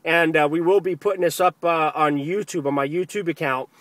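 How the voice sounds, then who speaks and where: A man's voice: a little high, fairly even intonation, a little fast, fairly bright tone, no room sound. A man operating amateur radio, talking over the air and repeating phrases for emphasis. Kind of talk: ham radio contact, spoken to camera and over the air. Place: outdoors in the field.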